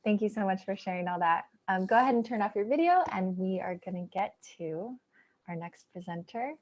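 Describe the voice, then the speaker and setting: A woman's voice: medium pitch, animated, brisk. A woman hosts an online event, greeting and introducing each presenter in an upbeat, friendly way. Her voice comes through a video call with dull, muffled lo-fi sound. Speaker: event host. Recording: dull lo-fi audio.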